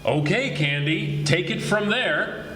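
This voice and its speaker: Man acting a pushy cop, animated, echoey stage. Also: sits high, slow pace, exaggerated voice. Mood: suspicious, pressing. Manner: pushy, sardonic. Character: suspicious, gruff but fond male police detective. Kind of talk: 1940s radio mystery acting. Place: live stage radio theatre performance. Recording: echoey stage, faint background noise.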